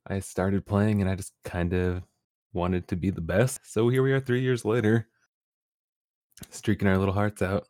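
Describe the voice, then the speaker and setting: A man's voice: low voice, steady tone, normal speed, a little mellow, dry recording, studio quality. A young man, a streamer guest on a podcast, talking casually with easy laughs and rambling restarts. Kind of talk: casual interview chat.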